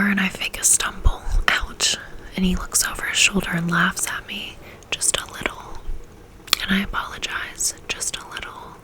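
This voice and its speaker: A woman's voice: medium pitch, poor audio. Whispering woman reading a novel. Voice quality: soft whisper throughout. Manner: intimate, steady first-person narration. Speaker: audiobook reader.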